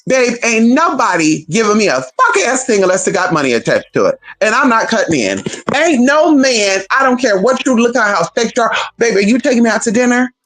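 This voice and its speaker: A woman's voice: fairly high pitch, brisk, few pauses, slight background noise. A woman chatting casually on a livestream, sassy and profane, calling her viewers honey and girl. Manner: animated, talks straight to viewers.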